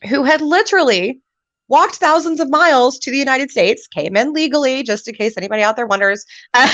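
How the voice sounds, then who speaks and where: A woman's voice: fairly high pitch, a bit bright, no echo, highs cut off. A woman hosting a live career event over a video call, upbeat and laughing often. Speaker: host.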